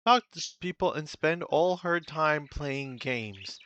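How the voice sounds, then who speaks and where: Man, reaction host, blunt, crude, repeats phrases, forceful bright voice, dry slightly dull recording. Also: on the high side, animated, slow pace, clear, resonant tone. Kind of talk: casual reaction commentary.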